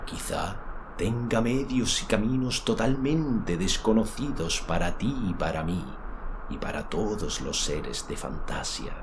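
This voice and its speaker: A man's voice: low-pitched, unhurried, noisy background, pitched above the performer's own voice. A man voicing a wise, cautious dragon who reasons and warns emphatically in a dramatised audiobook. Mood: grave reasoning. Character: wise, cautious male dragon, easily alarmed companion.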